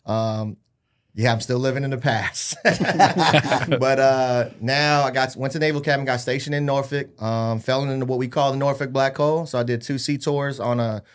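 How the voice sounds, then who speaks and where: A man's voice: medium pitch, steady tone, normal speed, smooth. A man in his thirties from Hawaii, a podcast guest telling his life story in an upbeat, joking, self-deprecating way. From Hawaii-born, raised in California and Arizona. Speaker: podcast guest, real estate agent. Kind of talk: casual chat.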